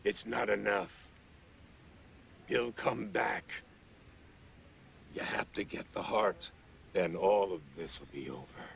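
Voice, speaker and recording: A man voicing a grim living puppet who hunts demons, with a gravelly voice, in a TV horror drama. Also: on the low side, animated, takes it slowly, long pauses, a bit bright, slight background noise, exaggerated voice. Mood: grim, weary. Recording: music over played-back TV dialogue.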